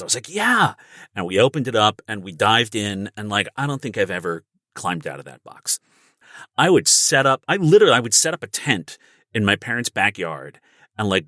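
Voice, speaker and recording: Bright, low-voiced middle-aged man telling anecdotes. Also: no echo, studio quality. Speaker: podcast guest, professional actor. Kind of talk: casual anecdotal chat.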